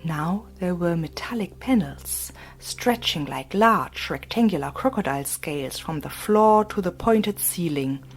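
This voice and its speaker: A woman narrating a fantasy audiobook in a soft, breathy, hushed voice. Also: on the low side, lively intonation, unhurried, hardly any pauses. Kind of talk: scripted narration. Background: faint music.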